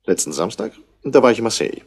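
A man's voice: medium pitch, very animated, fast, vocal fry, a little mellow, slightly rough, heavily acted. An actor playing a brusque, self-important Australian businessman in a 1960s German radio crime drama. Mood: curt. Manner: clipped, self-important, indignant. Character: brusque, busy rich Australian businessman, wool merchant's son.

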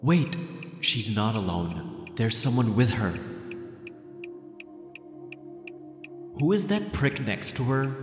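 A male actor plays a lovesick, possessive young man tormented by his breakup in an ASMR roleplay. His low voice broods and questions itself anxiously. It rings with long reverb over background music. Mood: alarmed, jealous. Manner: brooding, agonized, self-questioning. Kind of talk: ASMR roleplay, dramatic inner monologue.

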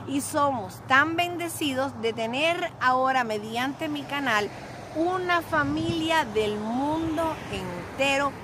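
A middle-aged woman from Cuba talks into a phone held at arm's length, outdoors beside a grassy lot. Her voice sits high and she speaks slowly and grandly, in a sing-song tone full of self-praise. Traffic and outdoor noise run clearly behind her. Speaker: vlogger. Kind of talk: casual self-filmed vlog.

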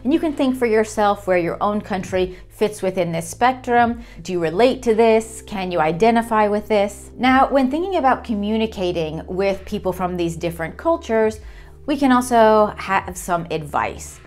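A woman in her thirties explaining a topic in an educational video, talking clearly and gesturing as she goes. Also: mid-range voice, lively intonation, moderate pace, darkish tone, soft background music. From United States. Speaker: lecturer. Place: small room indoors.

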